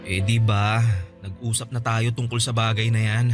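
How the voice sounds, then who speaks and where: A man's voice: fairly low pitch, level tone, moderate pace, natural voice. A man playing a tired, apologetic young husband in a radio drama, hesitant and defensive. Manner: weary, hesitant, placating. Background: soft background music.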